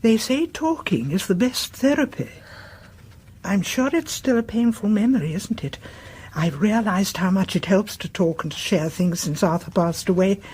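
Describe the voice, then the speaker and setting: A woman's voice: medium pitch, lively intonation, moderate pace, a little mellow, breathy edge. An actress playing an inquisitive, chatty widow in her seventies in a British radio play, with genteel, probing phrasing. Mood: coaxing, sympathetic. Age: 70s. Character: inquisitive, chatty seventy-two-year-old widowed woman neighbour.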